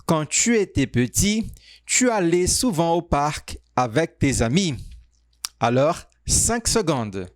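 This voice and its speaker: A man teaching French, reading quiz sentences and answer options aloud slowly and carefully. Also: fairly high pitch, big pitch swings, no echo, studio quality. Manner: reads sentences slowly, stretched out, pauses on options. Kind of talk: slow, clearly spoken quiz reading.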